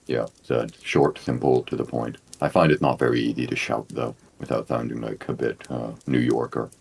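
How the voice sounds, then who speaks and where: A man from the United States co-hosting a casual chat, speaking in a measured, slightly formal way. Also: a bass voice, level tone, gravelly, darkish tone, slightly rough. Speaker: podcast co-host.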